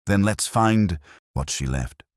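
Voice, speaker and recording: A man with a very deep voice reads an earnest young woman in an audiobook. She sounds shaken yet determined, with lively shifts in pitch. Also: takes it slowly, no echo, clean studio sound. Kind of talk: audiobook character reading.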